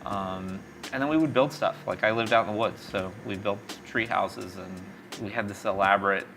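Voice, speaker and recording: A man's voice: lowish voice, moderate pace, vocal fry, music in the background. A middle-aged man from East Texas telling stories reflectively in a conversational interview. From East Texas, born in Mexico. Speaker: tech company founder, interview guest.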